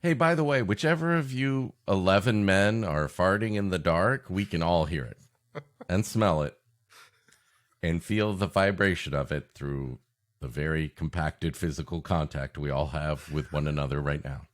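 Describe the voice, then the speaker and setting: A man's voice: deep voice, normal speed. A man co-hosting a comedy podcast in bantering chat, riffing and often laughing at his own jokes. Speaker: podcast co-host.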